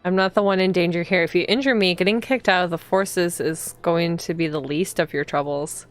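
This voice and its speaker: Casting: visual novel rival cop, male, read by a woman, smug, easygoing, mocking, lowish clean voice. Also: brisk, no room sound, soft background music, close to an everyday voice. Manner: mocking, condescending. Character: snide, sexist, easygoing male police officer rival.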